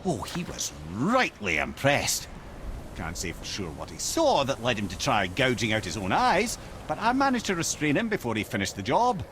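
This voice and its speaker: A man's voice: mid-range voice, wildly swooping pitch, moderate pace, a bit bright, a little hiss or hum. An actor playing a witty, wry old Scottish counselor in a video game, eloquent and theatrical in his storytelling. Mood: storytelling, confiding. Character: witty, wry old counselor, a well-travelled talking head. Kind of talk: video game character dialogue.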